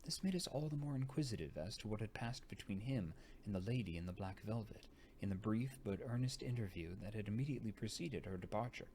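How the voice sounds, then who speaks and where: A man with a fairly low voice reads a gothic tale aloud. His delivery is unhurried and theatrical, dipping toward a breathy hush. Some noise sits behind him. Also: expressive. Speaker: audiobook reader. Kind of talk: expressive narration of a gothic novel. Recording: noticeable background noise.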